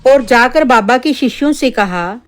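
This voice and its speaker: High-voiced woman storyteller over music. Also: lively intonation, measured pace. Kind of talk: scripted narration of a children's spooky tale. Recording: background music under the voice.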